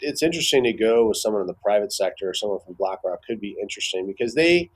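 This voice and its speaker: A man giving casual expert commentary as an interview guest, building his points haltingly with repeated words. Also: fairly low pitch, lively intonation, low-quality recording.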